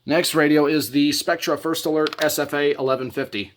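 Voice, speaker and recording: A man's voice: fairly high pitch, steady tone, fairly quick, a little hiss or hum. A man presenting his hobby collection in a casual show-and-tell style, talking from home.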